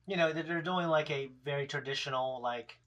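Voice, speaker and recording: Man, thirties, bright forceful voice, casual discussion, rambling, blunt, sweary. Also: on the high side, brisk. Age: 30s. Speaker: reaction show host. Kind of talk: casual chat, episode discussion.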